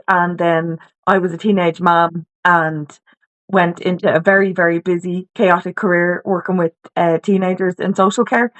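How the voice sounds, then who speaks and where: An Irish woman from Dublin speaks as a podcast guest, telling her story in a loose, chatty way. She restarts her sentences often and leans on "you know" and "like." She sounds as if she is on a video call from home. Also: fairly low pitch. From Ireland, Dublin. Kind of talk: candid personal story in conversation.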